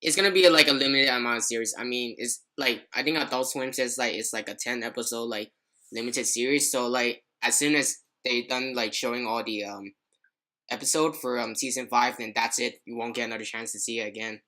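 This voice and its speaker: Male podcast host, bright tone, loose rambling casual talk full of filler words. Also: medium pitch, fairly even intonation, brisk. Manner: rambling, leans heavily on "like". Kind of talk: casual chat.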